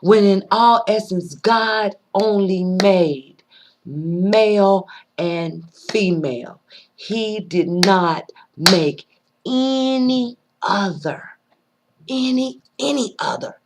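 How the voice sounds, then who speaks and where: A woman with a rough voice giving an earnest, preachy monologue, pausing often and repeating her words as she thinks aloud. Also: medium pitch, darkish tone. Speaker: vlogger sharing personal views. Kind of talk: unscripted spoken monologue.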